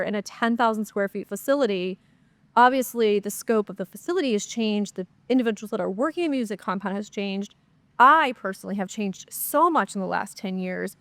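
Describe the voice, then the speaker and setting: A woman's voice: fairly high pitch, dry recording. Congested upbeat woman podcast host. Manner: upbeat, chatty, many fillers. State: congested, nasal from a cold. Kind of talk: solo podcast Q&A, casual chat.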